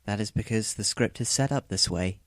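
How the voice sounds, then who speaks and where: A man's voice: fairly low pitch, moderate pace, fairly bright tone, no echo, natural voice. A man playing a polite, composed teenage schoolboy in an audio drama, speaking formally and courteously.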